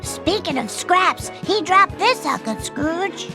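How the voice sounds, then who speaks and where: Little duckling, squeaky high voice, slow-paced, expressive, nervous and cheeky, music behind. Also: full character voice. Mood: uneasy. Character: plucky young cartoon ducklings, nervous and cheeky. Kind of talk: animated cartoon game acting.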